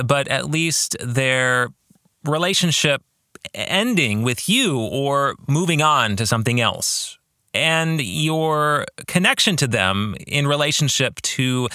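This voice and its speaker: A man hosting a podcast, giving reflective religious commentary in an earnest, deliberate way with rhetorical questions. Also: mid-range voice, bright, forceful tone, dry recording, clean studio sound. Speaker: podcast host.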